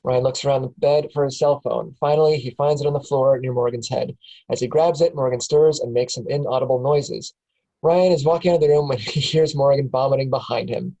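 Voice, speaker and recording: A woman's voice: a bass voice, mellow, low-quality recording. A woman reading stage directions aloud in a casual table read, often breaking into laughs.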